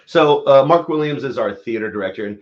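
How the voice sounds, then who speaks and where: A man's voice: medium pitch, expressive, brisk, dull audio. A man speaking casually as an interview guest, with loose, informal phrasing and a jokey touch. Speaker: interview guest, theater director. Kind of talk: casual live interview talk.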